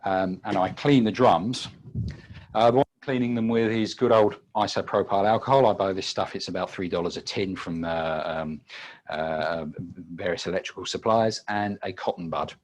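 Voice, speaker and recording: A British man giving an unscripted technical demonstration as a webinar presenter, halting often with repeated words and filled pauses. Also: low-pitched. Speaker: webinar presenter and audio engineer.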